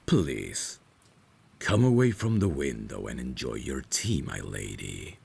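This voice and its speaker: A man's voice: sing-song, background noise, heavily acted. A man voicing a courteous, devoted, centuries-old vampire butler in an ASMR roleplay, speaking formally and deferentially. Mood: courteous, attentive. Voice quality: very deep, creaky. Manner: formal, deferential, measured servant's phrasing. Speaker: ASMR voice actor. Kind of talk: ASMR roleplay, dramatic scripted acting.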